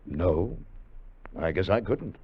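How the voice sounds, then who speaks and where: Earnest salvage expedition leader, low-pitched. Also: male voice, sing-song, slow, exaggerated voice. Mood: hesitant, amazed. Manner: hesitant starts, earnest explaining. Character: Fletcher Travis, earnest, cautious leader of a treasure salvage voyage. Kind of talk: 1950s radio drama acting. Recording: dull, faintly noisy vintage radio recording.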